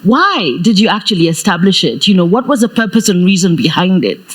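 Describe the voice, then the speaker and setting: A woman from Kenya moderating a live panel on a conference stage, talking loud and playful with frequent laughs. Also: lowish voice, sing-song, a little fast, husky. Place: conference stage before an audience. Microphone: handheld stage mic.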